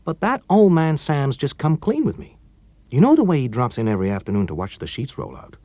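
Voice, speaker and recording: A man's voice: mid-range voice, very animated, a little fast, mellow, no room sound, voice pushed up. A man reading a story, voicing an urgent, nervous young male technician in a raised, jumpy voice.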